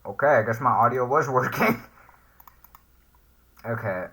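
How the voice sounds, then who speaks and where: A man's voice: mid-range voice, a little slow, bright, forceful tone, slightly rough. A man hosting a casual reaction video, hesitantly thinking aloud as he comments and reads text off the screen. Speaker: YouTube reaction host.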